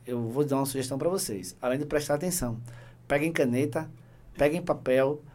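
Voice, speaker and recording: A middle-aged man hosting a casual live podcast, speaking haltingly with many fillers and joking asides. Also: medium pitch, a little mellow. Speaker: podcast host, insurance team leader.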